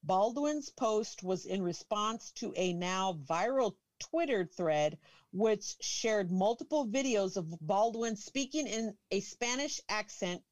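A woman's voice: mid-range voice, no echo. A woman hosting a casual chat, reading an article aloud, amused, stumbling over names. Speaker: host.

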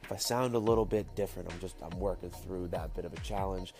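A man with a slightly low voice, nasal and stuffy from blocked sinuses, hosting a casual motivational daily show. He talks slowly and enunciates carefully. Music plays clearly behind him. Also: a little mellow.

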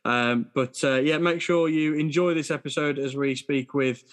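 A man's voice: fairly high pitch, steady tone, moderate pace. A man hosting a casual football podcast, chatty and jokey, with lots of fillers. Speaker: podcast host.

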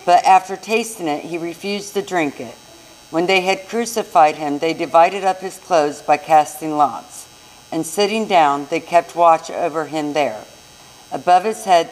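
A woman's voice: sits low, a little hiss or hum, rough recording. An American woman reading a scripture narrative aloud as narrator in a live church recording. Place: church service.

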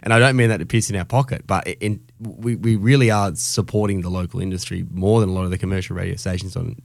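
A man's voice: low voice, fairly even intonation, quick pace, vocal fry, dry recording. An Australian man co-hosting a radio show, giving opinions in blunt, colloquial, emphatic conversation close to a studio mic. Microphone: close studio radio microphone.

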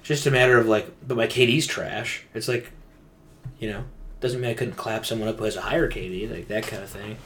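A man's voice: lowish voice, steady tone, brisk, fairly bright tone, slight background noise. A man chatting casually as a gaming podcast co-host, rambling and venting emphatically in slangy language.

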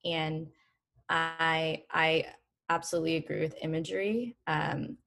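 A woman from Eritrea giving her opinion conversationally as a discussion panelist. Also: low voice.

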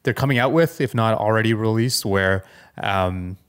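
A man with a fairly low voice talks casually as a podcast co-host. He sounds tentative, working out his thoughts as he goes. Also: normal speed, smooth. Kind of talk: casual tech podcast chat.

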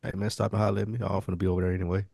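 Guy with a lowish voice, close dry sound, chatting slangy about tv. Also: flat delivery, rapid-fire, creaky, a little mellow, no echo. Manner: slangy, conversational. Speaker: podcast co-host. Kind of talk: casual TV review chat.

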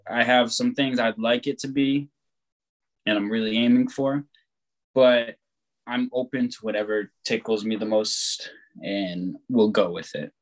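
A young man chatting casually, rambling and laid-back, who swears freely and talks loud. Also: medium pitch, steady tone, unhurried, low-quality recording. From born on the West Coast, raised on the East Coast of the US. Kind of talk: casual chat.

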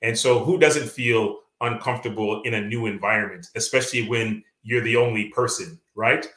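A Canadian man with a Canadian accent talks earnestly as a guest author, stopping often on fillers and restarts. His voice is bright and forceful. It sounds echoey, like an open room. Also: on the low side, normal speed. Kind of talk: reflective interview talk.

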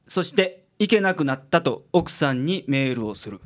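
Man playing a blunt high-voiced Kansai detective boss. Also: lively intonation, moderate pace, natural voice. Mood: brisk, commanding. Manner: blunt, commanding. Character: gruff, bossy male private detective boss. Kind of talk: radio drama dialogue.

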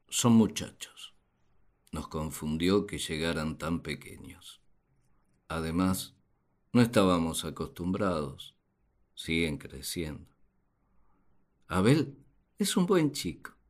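An actor playing a naive, puzzled husband and father in a radio play, speaking in a deliberate, theatrical way. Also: sits low. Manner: deliberate, theatrical, expressive delivery. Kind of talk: radio play monologue, dramatized diary.

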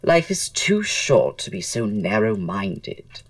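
An actor playing a witty, flamboyant Victorian writer and aesthete in an audio drama, speaking in a florid, languid, theatrical way. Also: male voice, lowish voice, sing-song, slow pace, far from the mic, heavily acted. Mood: amused, languid.

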